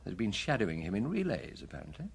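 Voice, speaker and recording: A British actor playing a brisk, wry, dogged chief inspector with a husky voice in a radio drama. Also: male voice, fairly low pitch, huge pitch swings, full character voice. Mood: wry, musing.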